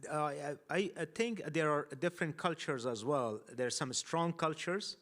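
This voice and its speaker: A man's voice: on the high side, moderate pace, a bit bright. A man from Afghanistan speaking as a panelist, thoughtful and hesitant, often restarting his sentences. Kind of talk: panel discussion.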